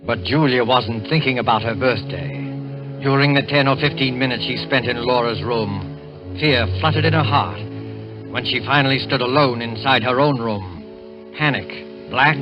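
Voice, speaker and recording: An actor as the ominous narrator of a 1940s radio suspense drama, delivering the story with dramatic tension. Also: male voice, mid-range voice, lightly acted. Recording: dull 1940s radio, loud music behind.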